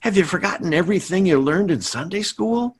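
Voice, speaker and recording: Animated, husky, blustering sitcom dad. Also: male voice, high voice, brisk. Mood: exasperated. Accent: American. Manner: blustering, stammering, emphatic. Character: idealistic, stubborn, exasperated sitcom father, middle-aged. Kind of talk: 1950s radio sitcom acting, performed by players.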